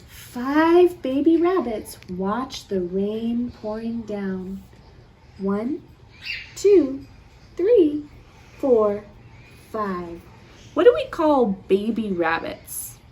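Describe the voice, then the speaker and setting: Very animated, high-voiced woman reading to kids. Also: a little hiss or hum. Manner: animated, theatrical gasps and pauses for young listeners. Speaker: children's storytime reader. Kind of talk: read-aloud picture book with questions to young children.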